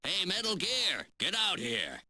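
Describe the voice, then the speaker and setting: A man's voice: sits high, wildly swooping pitch, measured pace, heavily acted. A man voicing a chatty, eccentric gadget inventor in a video game, with a folksy, rambling way of talking. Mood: friendly, proud.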